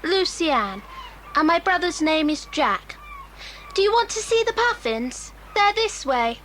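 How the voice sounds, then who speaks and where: Eager, anxious British schoolgirl, piping and bright, children's drama. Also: very high voice, very animated, measured pace, exaggerated voice. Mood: polite, eager. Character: eager, anxious little sister on an adventure. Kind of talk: acted children's audiobook dramatisation. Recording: faintly noisy old cassette recording.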